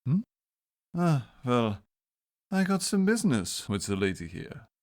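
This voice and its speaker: A man playing a gentle, reserved Victorian doctor in an audio drama, with an understated, measured manner. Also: medium pitch, very animated. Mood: dry. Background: music.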